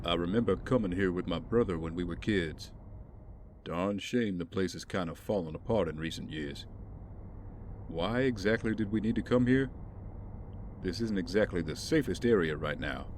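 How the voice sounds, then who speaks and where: A man playing a caring, easygoing, protective driver in an audio drama, speaking gently and conversationally. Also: low-pitched, normal speed, slight background noise, close to an everyday voice. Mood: wary.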